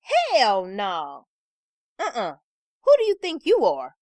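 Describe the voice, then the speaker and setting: A woman's voice: mid-range voice, huge pitch swings, slow pace, darkish tone, dry recording, studio quality. A woman voicing a hysterical, pleading wife who shrieks and cries out in panic as part of a story. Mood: outraged.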